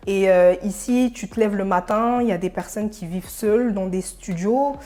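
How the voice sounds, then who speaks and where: A young woman from Senegal, an interview guest, talking casually and pausing mid-thought. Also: mid-range voice, warm tone. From Senegal, of Burkinabe descent.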